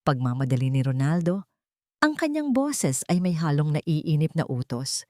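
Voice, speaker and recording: Low, expressive woman narrator. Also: normal speed, no echo, studio quality. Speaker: audiobook narrator. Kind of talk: dramatic scripted first-person narration.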